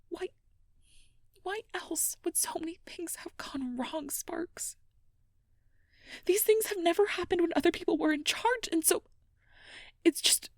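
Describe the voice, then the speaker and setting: A woman playing a stressed, self-blaming young air mage who confides haltingly and half-whispered in an intimate ASMR role-play. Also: sits high, no echo, studio quality. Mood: self-blaming, upset.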